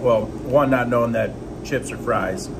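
Relaxed younger man chatting over food. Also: mid-range voice, a little slow, gravelly, background noise. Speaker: co-host filming. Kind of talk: casual chat. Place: small restaurant dining room, indoors. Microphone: phone camera at arm's length.

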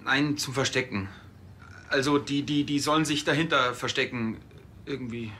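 A man's voice: mid-range voice, fairly even intonation, bright, forceful tone, a little hiss or hum, close to an everyday voice. An actor playing a hapless, put-upon junior policeman who speaks hesitantly, in a TV crime comedy. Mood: unsure. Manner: hesitant, halting.

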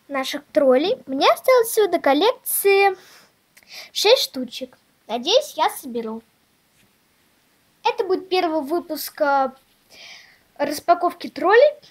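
A female host chatting casually in a toy unboxing video, showing things step by step in a simple, halting way. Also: very high-pitched. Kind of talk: casual unboxing vlog chat.

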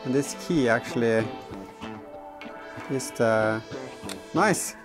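A man's voice: medium pitch, slow pace. Hesitant man thinking aloud, music behind. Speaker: car DIY vlogger. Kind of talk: casual hands-on workshop commentary. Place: garage workshop and inside the back of a car.

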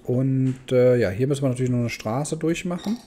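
A man's voice: mid-range voice, fairly quick, dark tone, a little hiss or hum. A man giving casual let's play commentary, thinking aloud and muttering questions to himself. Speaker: gaming host.

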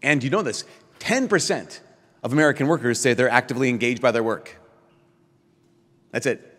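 A middle-aged man, a priest, giving an animated keynote at a podium, full of rhetorical questions and quick restarts. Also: medium pitch, big-room sound. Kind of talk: lively conference keynote. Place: large conference hall, at a podium. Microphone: podium microphone.

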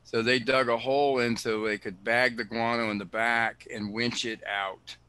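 A man, director of a cave preserve, answering questions on a video call in an explanatory way, often pausing with fillers and restarting sentences. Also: mid-range voice, little pitch movement, pushed and bright, smooth, dry recording. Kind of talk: answering questions in an online talk.

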